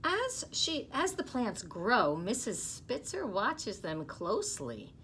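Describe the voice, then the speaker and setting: Woman in her fifties, children's story reader, creaky voice, soft asides, small office, noticeable background noise. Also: fairly high pitch. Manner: expressive, unhurried, dropping to soft asides. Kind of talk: reading a picture book aloud to children, with explaining asides. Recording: clearly noisy small-room recording.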